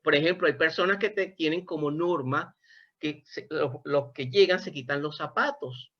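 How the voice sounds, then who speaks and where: A man's voice: on the high side, normal speed. A Venezuelan man interviewing a guest, speaking in a thoughtful, expansive way and often restarting his phrases. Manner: thoughtful, expansive, self-correcting. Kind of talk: reflective interview conversation. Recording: dull lo-fi sound.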